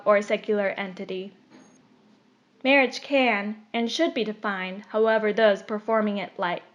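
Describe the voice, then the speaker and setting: Woman reading an article, dull lo-fi sound. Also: mid-range voice. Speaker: narrator reading an opinion article. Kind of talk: scripted narration. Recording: dull, lo-fi recording.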